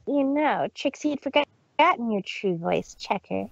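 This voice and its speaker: Theatrical magician mare, grand and lively, a woman acting. Slow. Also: on the high side, gravelly, dry recording, dull audio, full character voice. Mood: suspicious. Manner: theatrical, suspicious. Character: boastful young magician mare, speaks of herself in third person.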